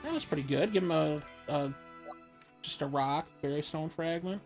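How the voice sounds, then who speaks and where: A woman's voice: sits low, moderate pace, darkish tone, soft background music. A woman chatting casually as she plays a video game and comments on it. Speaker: game player. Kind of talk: casual gameplay chat.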